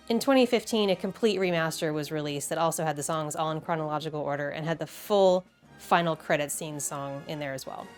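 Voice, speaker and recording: Woman, slightly low voice, casual video essay host, enthusiastic, wry asides, music underneath.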